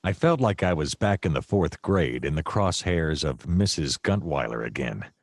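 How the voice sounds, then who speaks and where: An actor playing a wry, easily distracted private detective, narrating in a long-winded deadpan comic noir style. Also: male voice, extremely low pitch, normal speed, no room sound, studio quality, full character voice. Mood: grumbling, wry.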